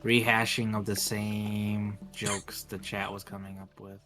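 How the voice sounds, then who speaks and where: A man's voice: lowish voice, level tone, measured pace, over music. A man chatting casually on a gaming stream, rambling and filling his talk with "like". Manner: rambling, heavy use of "like". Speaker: streamer co-host.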